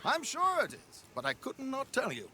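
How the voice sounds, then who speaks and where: A nervous male trickster in a Western game. His high, bright voice swings dramatically up and down, fussy and florid, full of theatrical effusion. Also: wildly swooping pitch, normal speed, a little hiss or hum, full character voice. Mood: earnest, anxious. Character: nervous, florid, foppish male magician and swindler in the Old West. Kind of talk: video game cutscene acting.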